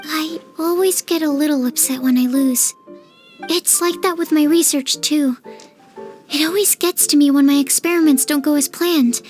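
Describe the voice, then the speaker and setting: A woman voicing a shy, earnest young female researcher in an English game dub, with a hesitant, soft-spoken manner. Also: sits high, moderate pace, natural voice. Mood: disappointed, apologetic. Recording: studio recording over background music. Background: game background music.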